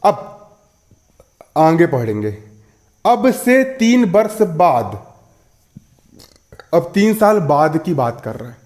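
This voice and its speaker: A man in his twenties with a fairly high voice gives a school maths lesson. He explains each step, repeats key phrases, and keeps posing questions that he answers himself, checking that pupils follow. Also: big pitch swings, slow, long pauses, a little mellow. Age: 20s. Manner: repetitive, asks and answers own questions, checks understanding. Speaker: maths teacher.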